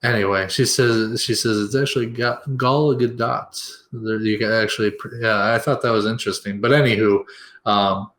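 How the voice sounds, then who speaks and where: A man's voice: lowish voice, fairly even intonation, moderate pace, fairly bright tone. Rambling male podcast co-host. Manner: rambling, many fillers and restarts. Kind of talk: casual film review chat.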